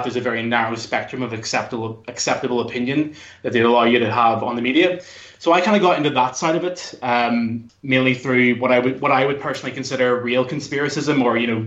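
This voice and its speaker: A man's voice: medium pitch, fairly bright tone. Belfast man in an echoey room. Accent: Belfast, Northern Irish. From Northern Ireland. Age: 30s. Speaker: podcast guest. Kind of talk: casual interview chat. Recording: dull lo-fi sound, echoey room.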